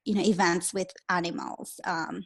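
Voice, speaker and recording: A woman's voice: lowish voice, steady tone, normal speed, vocal fry, fairly bright tone, slightly rough. A woman from Peru, a guest in a casual interview, upbeat and earnest as she explains, with some fillers.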